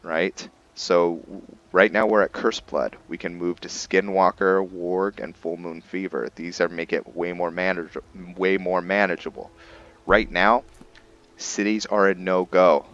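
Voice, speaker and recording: A man's voice: low voice, fairly even intonation, measured pace, fairly bright tone, highs cut off. A man giving casual roleplay commentary on a game, musing aloud and hesitating as he thinks through his character. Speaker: gaming streamer and roleplayer.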